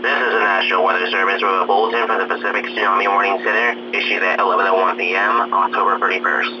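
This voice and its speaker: Low male announcer on noisy radio. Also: little pitch movement, fast. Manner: formal, impersonal. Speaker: weather service announcer. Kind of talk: scripted emergency tsunami bulletin. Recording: muffled, noisy, humming radio broadcast.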